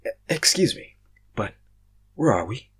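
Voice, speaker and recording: A man reading the part of a bewildered, sarcastic and easily panicked young stranger in a story, halting and exasperated. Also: medium pitch, big pitch swings, fairly bright tone, husky, exaggerated voice.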